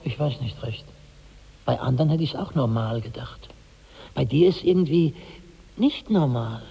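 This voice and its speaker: Husky, creaky, troubled companion. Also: male voice, mid-range voice, lively intonation, moderate pace, heavily acted. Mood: doubtful. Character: troubled, puzzled male companion and witness of a glutton. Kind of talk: 1960s German radio drama acting. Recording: dull old radio recording, long reverb.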